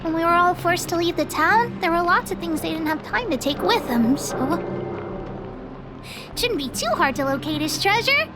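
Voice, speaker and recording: A woman voicing a bossy, excitable little girl who leads a gang of kids, self-important and cheeky, in a video game. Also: very high voice, moderate pace. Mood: confident, brisk. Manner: self-important, cheeky, refers to herself by name. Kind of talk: video game character voice acting. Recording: music under the voice. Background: background music.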